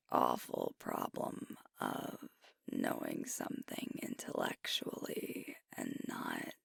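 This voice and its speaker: A woman playing a sweet, self-critical werewolf pack leader in an intimate ASMR roleplay, breathy and often whispering, speaking hesitantly and tenderly. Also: a bass voice, no room sound, studio quality, full character voice. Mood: vulnerable, grateful. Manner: hesitant, tender, earnest, with long pauses.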